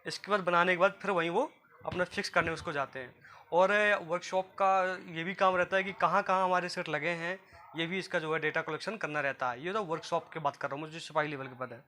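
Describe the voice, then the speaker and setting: High, bright-voiced man explaining. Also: fairly even intonation, brisk, slight background noise. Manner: repetitive, explanatory, often addressing listeners as friends. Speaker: presenter of a job guidance video. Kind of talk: informal explainer addressed to viewers.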